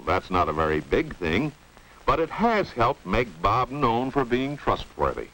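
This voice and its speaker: A man's voice: mid-range voice, very animated, measured pace, fairly bright tone. A man giving scripted 1950s educational film narration, didactic, often posing rhetorical questions. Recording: noisy old 1950s film soundtrack.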